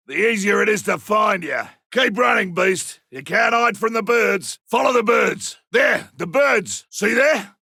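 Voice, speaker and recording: Game role: rugged Australian trapper, cocky and blunt, husky voice with a hard bright edge. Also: male voice, high-pitched, no echo, studio quality, exaggerated voice. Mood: alert, taunting. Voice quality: gravelly, rough. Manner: colloquial, blunt, forceful delivery. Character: gruff male monster hunter, rugged, cocky, seasoned trapper. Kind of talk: video game combat barks and callouts.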